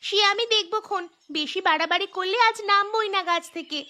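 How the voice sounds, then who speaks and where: A woman voicing a bold, cheeky elder sister in a village cartoon, bossy and quick to protest. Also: extremely high pitch, pushed and bright. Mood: alarmed, bossy. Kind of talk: cartoon story dialogue. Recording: music behind the voice.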